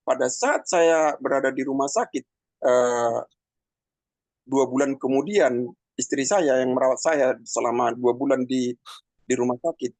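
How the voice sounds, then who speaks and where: Gravelly man in his fifties, halting speech. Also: medium pitch, a little mellow, dry recording. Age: 50s. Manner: halting, many pauses and fillers. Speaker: testimony speaker. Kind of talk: personal testimony, conversational.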